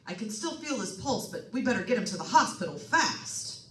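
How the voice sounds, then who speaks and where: A folksy, reassuring real estate agent from an old radio thriller, acted by a woman. The delivery is very lively and colloquial, full of salesmanship. It is picked up at a distance from the mic, with noticeable noise behind it. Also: mid-range voice, brisk, natural voice. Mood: alarmed. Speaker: radio play performer. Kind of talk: old-time radio suspense drama acting. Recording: roomy, distant microphone, clear background noise.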